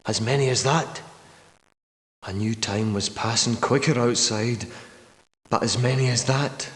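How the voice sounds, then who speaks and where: A man's voice: medium pitch, steady tone, a little slow, natural voice. Game role: wary, worn-out old Scottish soldier, male actor, guarded dramatic delivery, heavy hall echo. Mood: shaken, sorrowful. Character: weary, wary old war veteran trapped underground for years. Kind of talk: video game character dialogue. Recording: echoey, long hall-like reverb.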